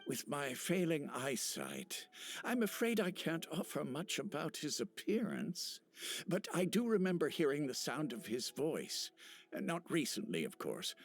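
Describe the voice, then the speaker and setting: Kindly old village chief, very animated pitch. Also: male voice. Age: older. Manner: gentle, halting, wistful. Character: kindly, humble elderly male village chief with failing eyesight. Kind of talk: video game character acting.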